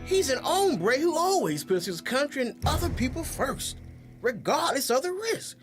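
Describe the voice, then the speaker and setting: A man playing a high-pitched, folksy Western sheriff. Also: big pitch swings, normal speed, a bit bright, soft background music, full character voice. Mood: admiring. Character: folksy, excitable male Western town sheriff. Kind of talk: old-time radio western acting.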